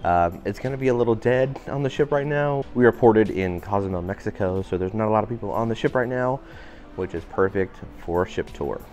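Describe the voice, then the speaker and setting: A man giving an upbeat walking tour of a cruise ship, his voice a little raspy today. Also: fairly low pitch, a little fast, darkish tone, faint music behind. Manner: upbeat, enthusiastic guiding. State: slightly raspy voice. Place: indoor public areas of a cruise ship.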